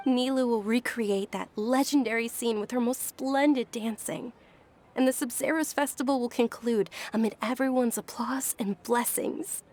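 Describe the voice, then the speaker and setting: A woman playing a gentle, frail young noblewoman in a video game, speaking softly and politely with earnest, measured delivery. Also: a little high, natural voice. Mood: eager.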